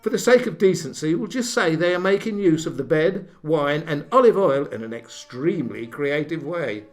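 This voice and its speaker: A man's voice: fairly high pitch, animated, moderate pace, faint music behind. A man narrating a comic radio play from a script in a mock-solemn manner.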